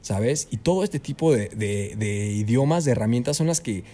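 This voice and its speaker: A young man with a Mexican Spanish accent, a student guest telling his story in a casual podcast interview, animated and peppered with "you know?". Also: medium pitch, normal speed. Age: young adult. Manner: animated storyteller, leans on "¿sabes?".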